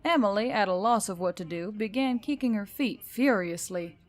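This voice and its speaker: A woman's voice: mid-range voice, expressive, normal speed. A woman reading scripted fiction as a storyteller, in a dramatic narrating style.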